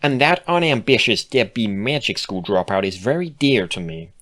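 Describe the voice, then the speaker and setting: A man's voice: lowish voice, animated, moderate pace, a bit bright, voice pushed up. A man voicing an earnest, nosy female cartoon pony who presses and pleads emphatically in a storybook reading.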